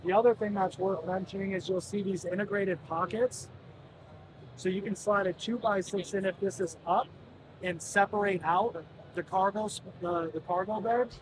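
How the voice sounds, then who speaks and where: A man in his thirties, a product representative giving a polished demonstration of a utility vehicle in a large indoor expo hall. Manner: polished, explanatory sales pitch. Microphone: camera mic at close range. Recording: crowd noise of a busy expo hall.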